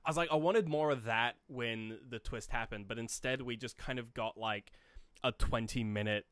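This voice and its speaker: A man's voice: medium pitch, moderate pace, fairly bright tone, clear, resonant tone, no room sound. A man co-hosting a podcast, chatting casually about film and slipping into imagined dialogue as he explains. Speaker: podcast co-host.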